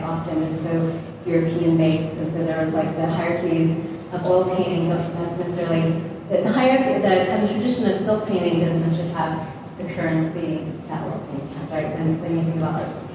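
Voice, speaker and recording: Woman artist, lowish voice, kinda hesitant, lots of "like", echoey noisy gallery. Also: fairly even intonation, brisk. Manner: searching and hesitant, with many likes and rights. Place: gallery space, indoors. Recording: echoey hall from far off, noisy.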